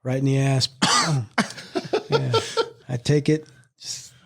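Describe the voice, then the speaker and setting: A middle-aged man chatting on a casual family podcast, trading blunt, crude jokes with his son and a cousin. His voice sits at an ordinary pitch for a man. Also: fairly even intonation, slow, creaky, fairly bright tone. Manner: blunt, joking, crude asides. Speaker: podcast co-host, father.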